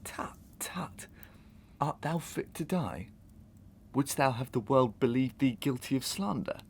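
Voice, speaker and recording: An actor playing a guilt-racked, tormenting gentleman murderer in a Victorian audio melodrama, with theatrical, deliberate, archaic delivery. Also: mid-range voice, lively intonation, slight background noise. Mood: alarmed, urgent. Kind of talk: Victorian stage melodrama acting in an audio drama.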